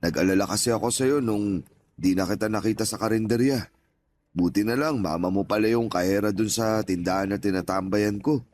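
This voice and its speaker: A man's voice: on the low side, brisk, a little mellow, slight background noise, close to an everyday voice. An actor playing a kindly, talkative older father and former street vendor in a Tagalog radio drama, plainspoken and folksy. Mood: warm, reflective.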